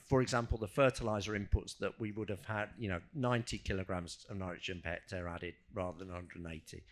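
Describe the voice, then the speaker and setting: A man's voice: on the low side, fairly bright tone. A man speaking as a scientist on a discussion panel, informal and conversational, often restarting his sentences as he works out an answer. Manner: hesitant, self-correcting, conversational. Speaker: scientist on a panel, fielding questions. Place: live agricultural conference panel session.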